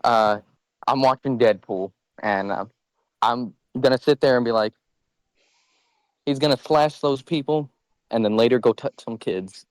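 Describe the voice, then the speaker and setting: A man's voice: medium pitch, unhurried, pauses often, no echo. A man chatting casually on a podcast in a blunt, joking way. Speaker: podcast speaker. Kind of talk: casual chat.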